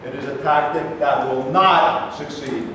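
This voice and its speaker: Guy acting as firm israeli man debating onstage, pretty high voice, far off in a ringing hall. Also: fairly even intonation, unhurried, fairly bright tone. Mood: accusing. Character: firm, distrustful Israeli man defending his country. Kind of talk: staged reading of a stage play. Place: reverberant room at a staged reading. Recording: very echoey hall, distant mic, faint noise.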